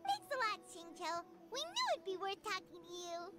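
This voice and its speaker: A woman voicing a tiny, eager, bubbly fairy companion in a video game, with a squeaky childlike voice. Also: very animated, normal speed. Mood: cheerful, curious. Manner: bubbly, exclaiming. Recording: background music under the voice.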